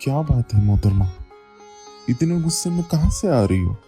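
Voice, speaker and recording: Man playing a very dark-toned cartoon husband. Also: mid-range voice, lively intonation, moderate pace, faint music behind, lightly acted. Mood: reluctant, placating. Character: reluctant henpecked husband, blustering when threatening. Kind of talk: Hindi cartoon moral story dialogue.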